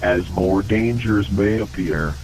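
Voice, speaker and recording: A lowish-voiced, grave emergency announcer played by a man delivers a scripted horror news bulletin. The delivery is formal, measured and deadpan. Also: a little hiss or hum, low-quality recording.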